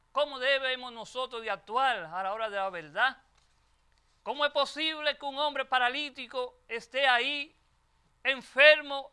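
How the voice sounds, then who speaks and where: Older male priest, high bright timbre, preaching a homily into a lectern mic in a chapel. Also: smooth. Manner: measured, emphatic preaching with repeated phrases. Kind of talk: Gospel reading and homily at Mass. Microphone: lectern microphone.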